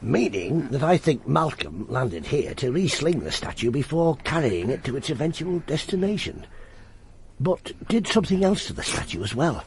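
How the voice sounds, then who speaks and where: Over some background noise, a sardonic detective inspector in radio drama. His voice is gravelly and swings widely in pitch, by turns musing and authoritative. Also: very animated, normal speed. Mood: brisk, musing. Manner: musing, clipped questions, sardonic. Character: wry, brooding, authoritative male police detective inspector.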